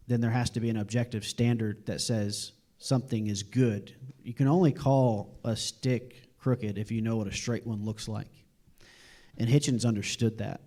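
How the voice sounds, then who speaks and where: Male debate panelist, lowish and dark-toned, arguing off the cuff. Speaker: panelist arguing for objective morality. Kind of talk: unscripted debate argument.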